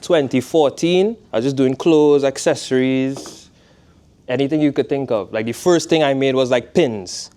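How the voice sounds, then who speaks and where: Young trinidadian guy, smooth clear voice, chill chatty class talk, keeps going "you know". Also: medium pitch, moderate pace, mellow. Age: 20s. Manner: laid-back, conversational, asks "you know what I mean". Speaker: guest speaker, footwear designer. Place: college classroom.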